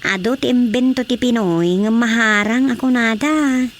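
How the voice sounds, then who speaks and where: A woman's voice: on the high side, normal speed, lightly acted. An actress playing a curious, concerned woman trading news in a radio drama comedy. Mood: opinionated. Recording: background ambience noise.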